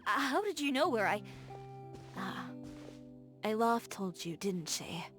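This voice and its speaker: An actress voicing a confident, assertive young tailor who talks in a dry, candid way in a video game. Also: female voice, on the high side, very animated, a little slow, a bit bright, soft background music. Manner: self-assured, dry and candid.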